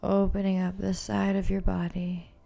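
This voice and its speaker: A woman yoga instructor giving calm, soothing, gentle cues as she guides a movement and meditation practice. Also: mid-range voice, moderate pace, creaky.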